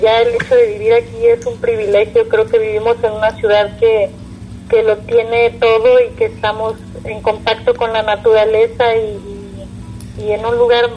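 A woman's voice: a little high, monotone, normal speed, dull audio, soft background music. A woman from Mexico with a Mexican accent, speaking casually and conversationally as an interview guest. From Puerto Vallarta, Mexico.

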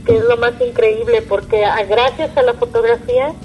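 A woman's voice: a little high, steady tone, moderate pace, dull audio, slight background noise. A woman from Mexico with a Mexican accent, speaking casually and conversationally as an interview guest. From Puerto Vallarta, Mexico.

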